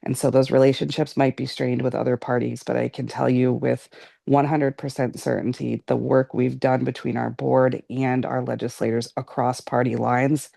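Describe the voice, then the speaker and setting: A woman's voice: rumbling low, level tone, normal speed, vocal fry, warm tone, no echo. An American woman speaking earnestly and conversationally as a board member in a meeting. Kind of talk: informal meeting remarks.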